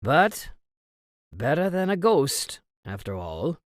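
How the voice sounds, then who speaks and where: A man playing a gruff, blunt country father in an old-time radio drama. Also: a little high, sing-song, slow, no echo, studio quality. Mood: grudging.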